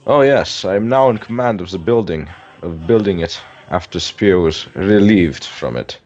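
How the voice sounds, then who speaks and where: A man's voice: deep voice, lively intonation, moderate pace, darkish tone. A man playing a proud, loyal German field marshal in a staged wartime radio interview. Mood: proud, obliging. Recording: muffled band-limited sound, music behind.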